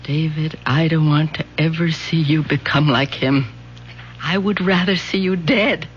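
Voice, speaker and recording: A woman's voice: low-pitched, fairly even intonation, measured pace. A bitter middle-aged wife and mother in an old radio drama, brooding and melodramatic. Her lines drip with wounded sarcasm, on a dull recording with a faint hum.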